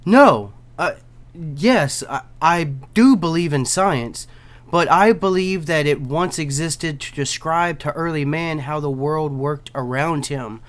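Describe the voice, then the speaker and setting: Man as deferential magician pupil, fairly high voice, very slow delivery, halting, earnest, audio drama. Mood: flustered, deferential. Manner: hesitant, halting.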